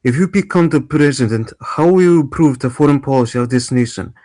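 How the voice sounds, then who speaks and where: A man's voice: medium pitch, a little fast, dark tone, no room sound, lightly acted. A man playing a formal debate moderator, dry sound. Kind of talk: amateur table read of a debate scene.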